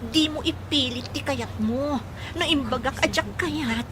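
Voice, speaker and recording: A woman's voice: high voice, a little slow, noisy background. A woman playing a stubborn young working woman who spurns a suitor, acted in an Ilocano radio comedy drama with a Filipino accent. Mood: annoyed, dismissive.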